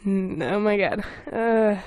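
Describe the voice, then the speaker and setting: A woman's voice: medium pitch, takes it slowly, a little mellow, dry recording. A young woman hosting a casual comedy podcast, reading aloud and commenting in a giggly, self-mocking, exclamatory way. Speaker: podcast host. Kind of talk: casual comedic chat, reading own old fan fiction aloud.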